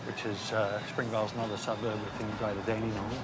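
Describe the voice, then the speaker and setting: A middle-aged Australian man, a police area commander, speaking in a measured way with many "uh" pauses in a documentary interview outdoors by a road. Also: lowish voice, little pitch movement, very noisy.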